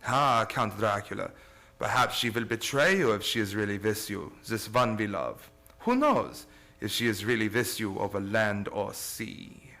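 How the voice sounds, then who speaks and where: An actor playing an urgent, commanding vampire-hunting doctor in a radio drama, with formal, insistent phrasing. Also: male voice, fairly low pitch, measured pace, sharp tone. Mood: resolute. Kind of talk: old-time radio drama acting.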